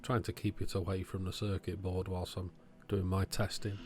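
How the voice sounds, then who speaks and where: Low-voiced man talking through a repair. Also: level tone, normal speed, music in the background. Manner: thinking aloud, matter-of-fact. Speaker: electronics repair video host. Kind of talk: informal bench repair commentary.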